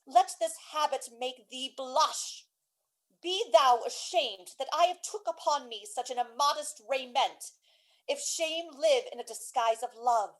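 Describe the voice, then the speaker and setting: A woman's voice: sits high, poor audio. A woman playing a spirited, earnest young lover disguised as a page boy in a Shakespeare radio drama, with theatrical verse delivery.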